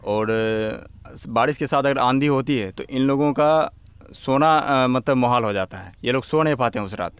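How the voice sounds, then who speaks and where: A man's voice: medium pitch, normal speed, smooth, no room sound. A man, reporting for a documentary from a village into a handheld microphone. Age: 20s. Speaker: field reporter. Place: outdoors and in open huts in a village. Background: music.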